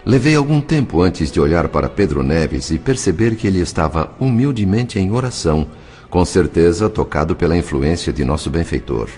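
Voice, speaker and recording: A male narrator with a Brazilian accent reads a scripted story. His voice is deep, and soft music plays behind him. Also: lively intonation, normal speed.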